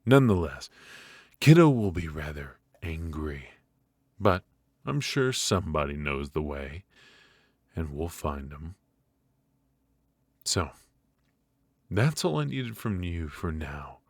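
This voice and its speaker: Man acting a very deep-voiced, smug mafia boss. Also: sing-song, no room sound, studio quality, exaggerated voice. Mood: smug, probing. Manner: smooth-talking, teasing, deliberate with drawn-out pauses. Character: smug, menacing male mafia boss, courteous and ruthless. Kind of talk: ASMR roleplay acting.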